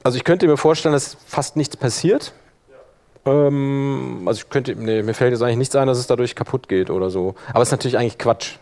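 A man presenting a live workshop, explaining software in a colloquial, hands-on way. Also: mid-range voice, fairly quick. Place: live workshop in front of attendees.

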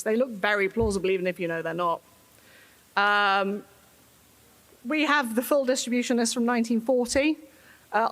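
A woman giving a conference talk in a wry, humorous way, laughing often. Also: a little high.

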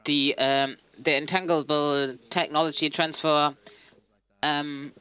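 A man's voice: on the high side, level tone, slow pace, pushed and bright, clean tone. A man interpreting a conference panel live, in hesitant broken phrases with frequent self-corrections. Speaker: simultaneous interpreter.

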